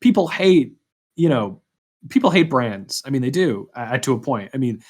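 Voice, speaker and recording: A man's voice: mid-range voice, sing-song, moderate pace, darkish tone, breathy edge. A man chatting casually as a podcast host, rephrasing as he goes. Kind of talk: casual chat.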